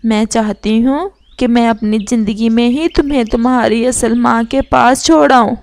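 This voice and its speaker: A woman's voice: fairly high pitch, fairly even intonation, moderate pace, darkish tone, close to an everyday voice. A woman voicing a protective, ailing mother kite bird, tender but firm, in an earnest, motherly manner for a children's cartoon story. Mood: sorrowful.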